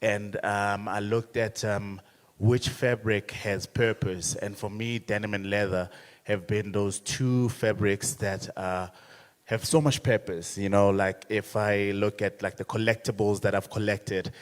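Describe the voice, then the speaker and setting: A man speaking as a panelist at a conference, talking in a relaxed way with many fillers. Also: fairly low pitch, unhurried. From South Africa. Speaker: panelist, fashion designer. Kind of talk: panel introduction. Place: conference hall. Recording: reverberant hall.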